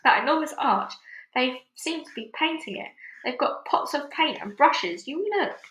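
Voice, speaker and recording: Storybook boy voiced by a woman, quick-witted and urgent, pitched up, in a roomy echo. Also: lively intonation, fairly bright tone, a little hiss or hum. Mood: excited. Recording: echoey room.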